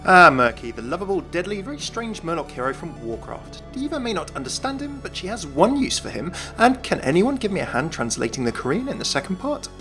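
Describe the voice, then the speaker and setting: A man's voice: sits high, sing-song, fairly quick, few pauses, sharp tone, soft background music. A man narrating a scripted gaming video as its presenter.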